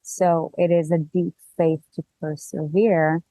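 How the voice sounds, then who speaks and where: Mellow-voiced woman guest. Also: sits low, little pitch movement, slow, dry recording, low-quality recording. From Israel. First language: Hebrew. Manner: purposeful, explanatory. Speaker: guest, business co-founder. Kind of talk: conversational interview.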